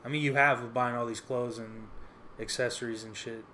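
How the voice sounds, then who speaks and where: A man's voice: medium pitch, steady tone, fairly quick, bright, forceful tone. A man with a mid-range voice talks as a podcast co-host. He is casual and slangy, chiming in with short supportive remarks and the odd swear word. Faint noise sits behind the voice. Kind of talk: casual chat.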